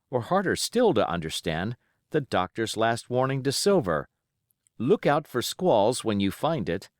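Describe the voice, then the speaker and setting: A man's voice: lowish voice, no echo, studio quality. A man reading a classic adventure novel aloud as a scripted audiobook narration.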